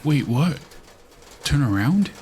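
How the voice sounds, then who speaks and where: Man playing an excitable, jumpy noir sidekick. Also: fairly high pitch, takes it slowly, slight background noise. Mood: flustered. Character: excitable, incredulous male sidekick photographer. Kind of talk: amateur noir radio drama acting.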